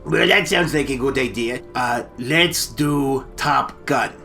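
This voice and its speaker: A man's voice: medium pitch, takes it slowly, vocal fry, sharp tone, faint music behind. A man co-hosting a comic movie chat, hesitant and stammering, often repeating his words. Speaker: comedy storytelling co-host. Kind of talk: casual comic movie discussion.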